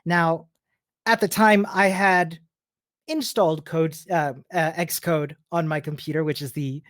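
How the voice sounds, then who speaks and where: A man's voice: fairly high pitch, fairly even intonation, a little slow, a bit bright, dry recording, clean studio sound. A man from Chile giving a conference talk as a personal story, in a friendly, informal manner. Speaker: conference speaker, software developer. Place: remote virtual meetup presentation.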